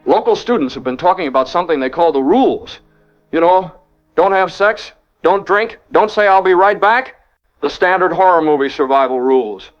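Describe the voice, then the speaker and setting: A man's voice: high-pitched, normal speed, lightly acted. A man playing a radio interviewer in a drama, asking an expert probing questions steadily. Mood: steady, inquiring. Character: composed male radio host questioning an expert. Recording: dull lo-fi radio sound with faint music.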